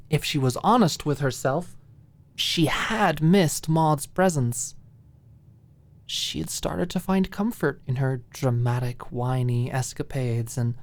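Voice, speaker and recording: Female narrator for ASMR audiobook fiction: low register, unhurried pace, expressive, deliberate phrasing with dramatic pauses. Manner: dramatic, deliberate pauses, drops at times into breathy whisper.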